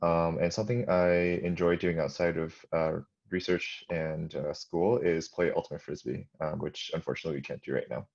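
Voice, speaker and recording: Very deep, gravelly young man. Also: fairly even intonation, moderate pace, hardly any pauses, darkish tone, dull audio. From Ann Arbor, Michigan. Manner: hesitant, filler-heavy. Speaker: MD-PhD student panelist. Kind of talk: casual self-introduction.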